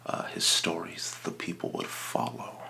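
A man playing a reverent small-town radio devotee on an improvised comedy podcast, speaking in a halting, hushed whisper. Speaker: podcast guest and producer. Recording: noticeable background noise.

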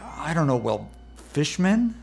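Man acting a haunted, gravelly-edged anxious patient. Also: a little high, sing-song, a little mellow, faint music behind, exaggerated voice. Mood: anxious, unsettled. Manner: halting, stammering, desperate. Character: anxious, haunted male patient plagued by night terrors. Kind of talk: radio play acting.

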